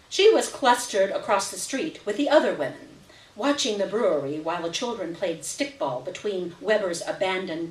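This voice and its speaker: A woman narrating a short story as a live literary reading on stage, picked up in an indoor venue. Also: mid-range voice. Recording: roomy echo, distant mic at live event.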